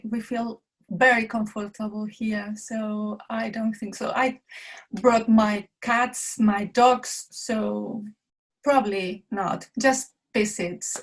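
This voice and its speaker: Slow-speaking woman. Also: a little high, rough recording. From Chile. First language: Spanish. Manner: halting, searching for words in a second language. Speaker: guest. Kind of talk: casual interview chat.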